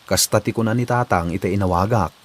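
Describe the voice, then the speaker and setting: A man acting as an easygoing young man in a comedy drama, with a fairly low voice. He is agreeable and reassuring. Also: fast, lightly acted.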